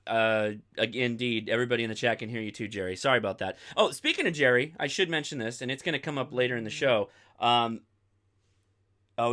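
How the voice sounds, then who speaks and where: Bright-voiced American man chatting on a livestream. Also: medium pitch. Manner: rambling, digressive, chatty. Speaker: host of a ham radio live stream. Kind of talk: casual livestream chat.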